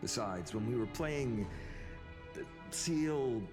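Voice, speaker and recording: An actor playing a young male hero in a video game, earnest and gently wry with his comrades. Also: mid-range voice, expressive, slow. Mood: calm, wry. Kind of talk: scripted video game acting. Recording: game dialogue under loud music.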